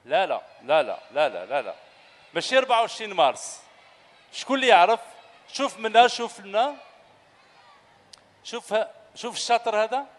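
A man's voice: high voice, brisk, long pauses. A man in his thirties with an Algerian Arabic accent, hosting a children's show and quizzing children on stage with a handheld mic in an echoing sports hall. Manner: animated, coaxing, asks children questions. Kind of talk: lively quiz with children on stage. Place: large indoor sports hall full of children. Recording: echoey hall, loud crowd.

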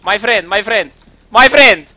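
A man with an Estonian accent improvising a campy, pleading singer character in halting broken English during a game stream. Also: sits high, sharp tone, no echo, full character voice. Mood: pleading, anxious. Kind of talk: improvised role-play while streaming a game.

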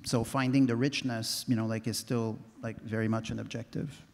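A man in his forties speaking as a winemaker on a conference panel, animated and full of "you know, like" fillers, holding a handheld mic. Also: medium pitch, moderate pace, vocal fry. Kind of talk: panel discussion. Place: stage in a large hall. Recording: long hall reverb.

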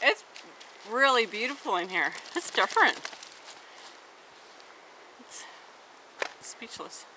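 A woman's voice: on the high side, very animated, slow, pauses often, bright, forceful tone, a little hiss or hum, low-quality recording. Gushing middle-aged woman on a hike. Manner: enthusiastic, gushing. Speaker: hiking companion. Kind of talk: casual chat. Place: outdoors on a forest trail.

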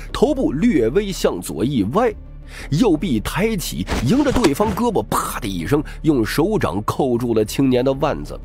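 A man's voice: a little high, a little mellow. A man narrating scripted fiction as a dramatic audiobook reader.

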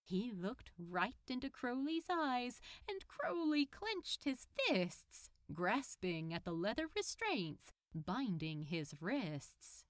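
A woman reading fiction aloud as an audiobook narrator, in an expressive storytelling style. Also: on the high side, takes it slowly, few pauses, no room sound, studio quality.

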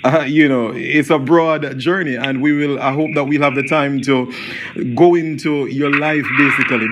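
A man's voice: a little high, background noise. A man hosting a radio interview show, asking questions in a hesitant way with frequent restarts and "um"s.

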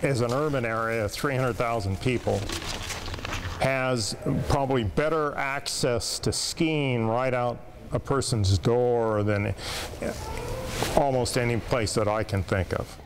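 An older man, a former ski coach, telling his story slowly and deliberately in an interview clip. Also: medium pitch, noisy background. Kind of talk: interview soundbite.

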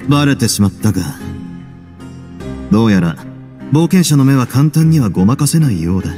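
Casting: cool duke male actor, mid-pitched, soft mellow tone, dry wit, unhurried confidence, anime game. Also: expressive, faint music behind, close to an everyday voice. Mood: wry teasing. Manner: dry, casual, teasing. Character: cool, wry adult duke, self-assured prison overseer. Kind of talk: Japanese anime game voice acting.

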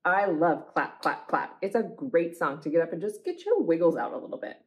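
A woman's voice: lowish voice, animated, fairly quick. A woman hosting a children's storytime, speaking expressively to young listeners and often putting questions to them. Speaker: library storytime host. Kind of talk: children's storytime, reading aloud and chatting to young viewers.

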